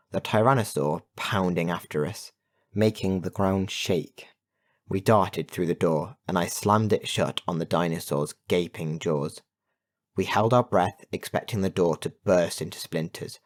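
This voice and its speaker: A man reading a children's adventure story as an audiobook narrator, in an expressive storytelling manner. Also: sits low. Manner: expressive storytelling with put-on character voices.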